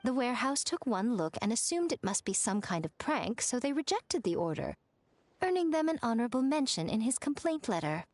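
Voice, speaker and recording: An elegant, teasing shrine lady in a game. Her voice is on the high side and swings expressively, with a playful, knowing poise. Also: big pitch swings, brisk, dry recording. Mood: wry. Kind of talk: animated video game voice acting.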